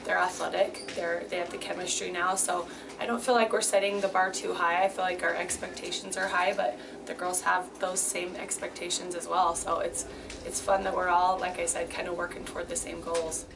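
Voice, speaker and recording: Distant, lowish woman, noisy background. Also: level tone, moderate pace, vocal fry. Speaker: college volleyball coach. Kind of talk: spontaneous interview answers. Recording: off-mic, noisy ambient background.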